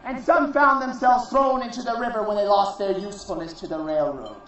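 A man performing a theatrical monologue as a proud, defiant warrior, solemn and declamatory, in deliberate phrases. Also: high voice, sharp tone, a little hiss or hum. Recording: distant, very echoey, muffled recording.

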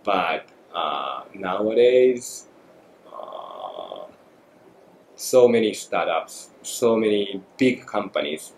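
Japanese man, forties, podcast guest, halting and reflective, echoey studio. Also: deep voice, wildly swooping pitch, creaky. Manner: thoughtful, halting, many fillers. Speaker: guest photographer. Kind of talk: podcast interview. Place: small studio room. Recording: echoey room, faint noise.

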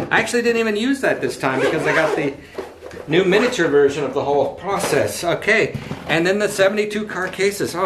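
Chatty middle-aged man in echoey room. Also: fairly high pitch. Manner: chatty, enthusiastic, salesy asides. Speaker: toy car collector and dealer. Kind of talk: casual unboxing vlog. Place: living room indoors, home. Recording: echoey living room, faint background noise.

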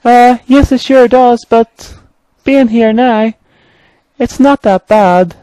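A man's voice: extremely high pitch, takes it slowly, mellow, dry recording, pitched above the performer's own voice, poor audio. A man voicing a timid, apologetic little male mine engine in a children's story, nervous and pleading. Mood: meek, eager.

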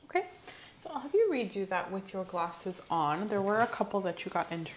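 A young woman acting as a calm, methodical female clinician in an ASMR exam roleplay. She speaks softly at a middling pitch, quiet and reassuring, in a small room with some faint background noise. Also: a little fast, close to an everyday voice. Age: young adult. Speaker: ASMR host playing a medical examiner. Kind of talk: soft-spoken ASMR medical roleplay.

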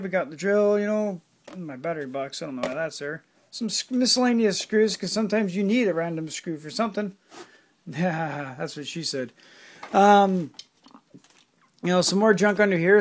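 A man in his forties giving a rambling, offhand tour of his cluttered home workshop, with lots of fillers and the odd swear word, filmed on a handheld phone. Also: sits high. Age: 40s. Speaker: hobbyist vlogger. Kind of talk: casual walkthrough of his workshop. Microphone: handheld phone camera.

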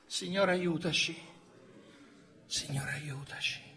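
A man in his fifties preaching a sermon from a church pulpit, swinging from loud exclamations to hushed storytelling. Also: a little high, takes it slowly. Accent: Italian. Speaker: pastor. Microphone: pulpit microphone on a stand.

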